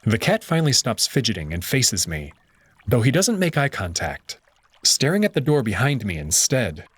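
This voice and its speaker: Husky-voiced man narrating a story. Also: medium pitch, big pitch swings, no room sound. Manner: measured, tense storytelling. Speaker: visual novel narrator. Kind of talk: first-person story narration.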